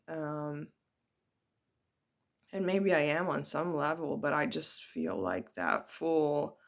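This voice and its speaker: Slow, hesitant woman sharing reflections. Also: low voice, gravelly. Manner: slow, hesitant, many ums. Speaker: spiritual vlogger. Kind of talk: personal spiritual reflection.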